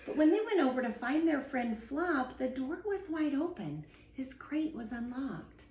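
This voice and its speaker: A woman, a teacher in her thirties, reading a children's picture book aloud at home in a sing-song, expressive way. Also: high voice, unhurried, slight background noise. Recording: echoey room, voice a little far from the mic.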